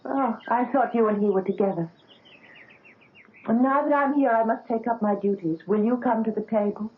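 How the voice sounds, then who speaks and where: An actress playing a gentle young doctor's daughter in a 1970s radio drama. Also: female voice, fairly high pitch, moderate pace, natural voice. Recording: narrow phone-like old radio broadcast.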